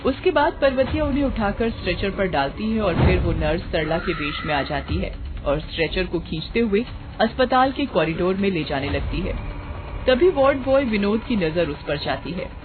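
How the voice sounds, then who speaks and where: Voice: medium pitch, big pitch swings, normal speed. A narrator reading a scripted horror story. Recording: tinny phone-like sound with music behind.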